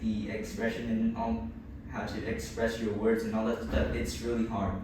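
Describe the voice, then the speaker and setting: A man's voice: lowish voice, level tone, moderate pace. A boy in his early teens from Vietnam, a native Vietnamese speaker with a Vietnamese accent, answering a speaking test hesitantly with many restarts. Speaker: student taking a speaking test. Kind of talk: answering exam questions. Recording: echoey room, distant mic, background noise.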